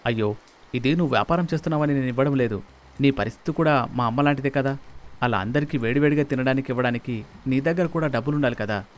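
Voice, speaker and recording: A man's voice: medium pitch, fast talker, lightly acted. A man acting a worried, considerate family man in a moral story, speaking in an earnest, insistent way. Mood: earnest, persuasive. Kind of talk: dramatized moral story dialogue.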